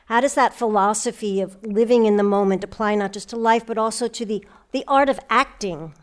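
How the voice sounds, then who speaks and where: A woman hosting a live panel interview on stage, phrasing her questions in a measured, deliberate way. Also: mid-range voice. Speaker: host and interviewer. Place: stage recording before a live audience.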